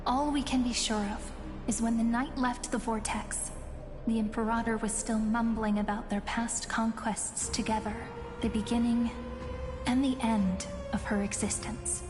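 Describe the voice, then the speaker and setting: A woman playing a solemn storyteller, narrating a video game legend in a measured, grave manner. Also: on the high side, steady tone, close to an everyday voice. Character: solemn female storyteller recounting an empress's legend. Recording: long reverb, music behind.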